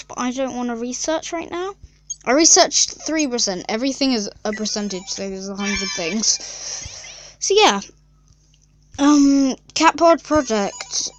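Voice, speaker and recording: A young female gaming YouTuber chatting casually and rambling through a mobile game, with lots of fillers and giggles. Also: on the high side.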